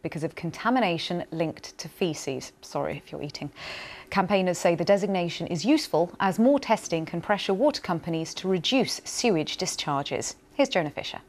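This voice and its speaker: A woman's voice: lowish voice, animated, normal speed. A woman presenting television news in a studio, reading stories with light conversational asides.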